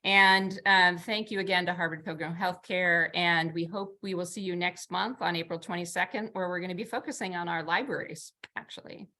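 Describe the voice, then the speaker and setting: A woman hosts an online community meeting, her speech casual and halting with frequent restarts. Her voice sits low but sounds bright and forward on the call. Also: steady tone. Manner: halting, many fillers and restarts. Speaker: host of a nonprofit community meeting. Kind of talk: casual hosting, wrapping up a meeting.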